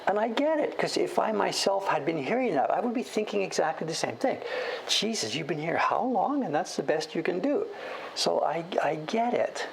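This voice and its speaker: Gravelly man chatting while he carves. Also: high voice, sing-song, moderate pace, a crowd in the background, rough recording. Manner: rambling, self-deprecating, chuckling storyteller. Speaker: streamer and woodblock print carver. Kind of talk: casual livestream chat while carving.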